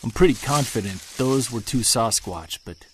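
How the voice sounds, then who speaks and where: An actor playing an earnest, excitable Bigfoot researcher, musing to himself as he records a field log in an audio drama. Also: mid-range voice, moderate pace, a little hiss or hum, natural voice, poor audio. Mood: chilly, determined. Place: outdoors in wilderness sound setting, handheld recorder.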